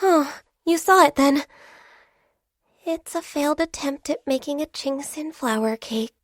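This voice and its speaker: A woman voicing a shy, gentle, diligent half-Qilin secretary in a video game, with polite, earnest, soft-spoken delivery. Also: high voice, a little slow, no echo, studio quality. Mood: bashful. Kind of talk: video game character voice lines.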